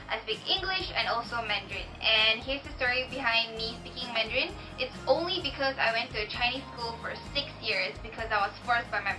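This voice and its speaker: A woman's voice: fairly high pitch, low-quality recording. A young woman with a Malaysian accent, chatting casually and enthusiastically as she introduces herself on video. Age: young adult. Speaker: pageant contestant.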